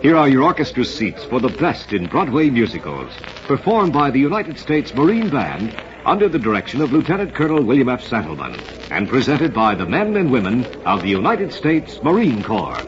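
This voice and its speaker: A man's voice: mid-range voice, very animated, moderate pace. A man hosting an old radio program, announcing from a script in a formal, theatrical showman's manner on a muffled vintage recording. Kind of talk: 1940s-50s radio announcing, scripted narration.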